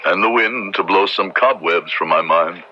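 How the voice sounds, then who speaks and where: An actor playing a solemn, conscientious Puritan minister with measured, formal diction in an old-time radio drama. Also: male voice, low voice, lightly acted. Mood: grave, troubled. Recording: dull, noisy old radio drama recording.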